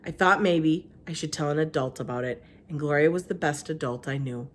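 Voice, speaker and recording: A woman's voice: low voice, fairly quick, a little hiss or hum. A woman narrating a children's storybook aloud like a teacher reading to a class.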